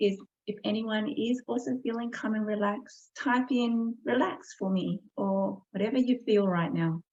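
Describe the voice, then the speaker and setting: A woman's voice: mid-range voice, a little mellow. A woman hosting an online event with polite, gracious thanks, speaking over a video call. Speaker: MC and host of an online Buddhist event. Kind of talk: warm hosting with live chat shout-outs. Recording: dull, lo-fi online stream audio.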